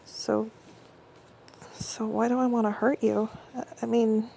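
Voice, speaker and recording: A young woman playing an affectionate, intense girlfriend in an intimate audio roleplay, speaking close to the mic in soft whispers that break into stammers. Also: a little high, level tone, a little slow, creaky, a little hiss or hum. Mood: tender, conflicted.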